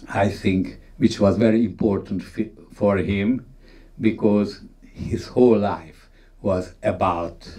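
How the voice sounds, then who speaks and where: Man, dark low-key tone, lowish pitch, halting emphatic delivery, conference talk. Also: far from the mic. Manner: halting, emphatic, non-native English phrasing. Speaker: conference speaker on film history. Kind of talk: unscripted talk retelling film scenes.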